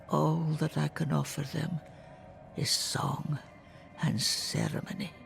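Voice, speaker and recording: An actor playing a grieving, weary mourner in a solemn game monologue, with a breathy, creaky voice. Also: male voice, on the high side, fairly bright tone, heavily acted.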